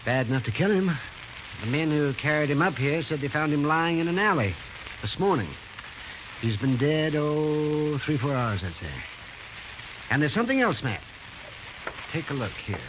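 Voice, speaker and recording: An actor playing a matter-of-fact frontier town doctor in an old-time radio western, with a dry, deliberate delivery. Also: male voice, on the high side, very animated. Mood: dry, grim. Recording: muffled, noisy old radio recording.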